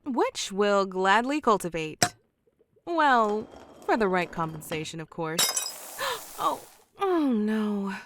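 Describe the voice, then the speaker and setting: A woman playing a scatterbrained, passionate alchemist lady in a fantasy audio drama, theatrical and excitable. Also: medium pitch, takes it slowly. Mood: casual, sly, then flustered. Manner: theatrical, absent-minded, excitable. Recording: noisy, with sizzling and clicking behind.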